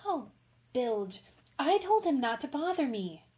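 A woman's voice: high-pitched, big pitch swings, measured pace, a little mellow. A woman playing an impulsive, frank, chatty young tomboy writer in a radio drama. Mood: irritated. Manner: breathless, rambling, candid.

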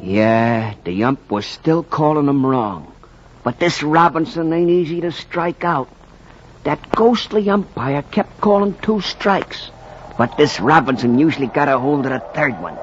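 High, animated, slangy storyteller. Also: male voice, measured pace. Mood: wry, colorful. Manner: folksy, theatrical. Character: slangy, colorful storyteller, wisecracking baseball fan. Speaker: narrator. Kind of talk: 1940s radio drama narration. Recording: dull, faintly noisy 1940s radio recording.

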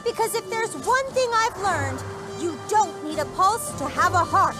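A woman's voice: extremely high pitch, expressive, moderate pace, music in the background. A woman voicing an earnest young zombie girl rallying fellow zombies. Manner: rallying, impassioned.

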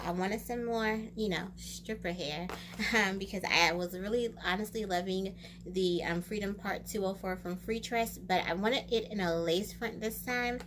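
Chatty American woman vlogger, noisy recording. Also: mid-range voice. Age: young adult. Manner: chatty, expressive, drawn-out exclamations. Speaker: beauty vlogger and wig reviewer. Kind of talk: casual product review to camera. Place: small room indoors.

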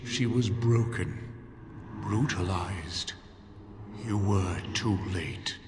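An actor voicing an ancient, solemn armoured guardian of a holy relic in a fantasy video game, grave, formal and deliberate. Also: male voice, sits low. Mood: grave, probing. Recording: echoing hall reverb with ambient noise.

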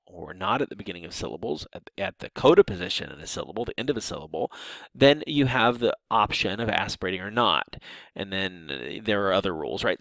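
Gravelly man giving a lecture. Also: fairly low pitch, fairly bright tone, dry recording, poor audio. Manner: explanatory, with frequent restarts and fillers. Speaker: linguistics instructor. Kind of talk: recorded lecture over slides.

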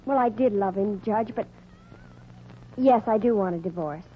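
An actress playing an earnest, wavering young wife in a 1940s radio comedy. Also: female voice, mid-range voice, moderate pace, natural voice. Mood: torn. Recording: muffled, faintly noisy 1940s radio recording.

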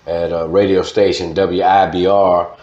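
A man's voice: deep voice, flat delivery, unhurried. A man in his fifties with a Southern Louisiana accent, telling stories casually as a radio veteran being interviewed. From Baton Rouge, Louisiana. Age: 50s. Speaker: radio host, interview guest. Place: studio desk, indoors. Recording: slightly dull, echoey studio recording.

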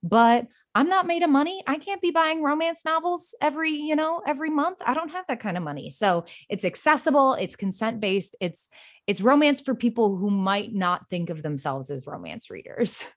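A woman's voice: high-pitched, animated, moderate pace. A woman talking casually as a podcast guest, chatty and given to acting out little quotes as she explains. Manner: chatty, illustrates points with mimicked quotes. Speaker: podcast guest, co-creator and romance writer. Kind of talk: casual interview conversation.

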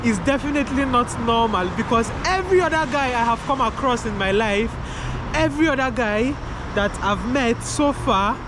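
Young guy vlogging outside, soft girlish-sounding voice, keeps saying man and bro, cars going by loud in the background. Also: very high voice, moderate pace. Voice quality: light, feminine-sounding voice. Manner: loose, repetitive, peppered with "man" and "bro". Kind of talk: casual to-camera talk. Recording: outdoor recording with clear traffic noise. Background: passing cars.

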